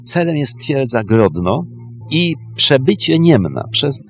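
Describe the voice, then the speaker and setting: A man's voice: medium pitch, sing-song, slow, faint music behind. A man speaking as a historian guest, telling history in an emphatic way with short, repeated phrases. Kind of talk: animated historical storytelling.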